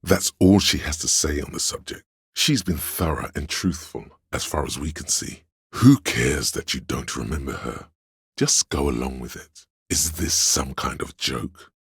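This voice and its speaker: A scheming, theatrical servant of drama from a video game, counselling his liege in sly, archaic flourishes. The voice is profoundly low and thick with gravel. It is recorded close and very dry. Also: expressive, a little slow, no echo, full character voice. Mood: knowing, courtly. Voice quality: gravelly, husky.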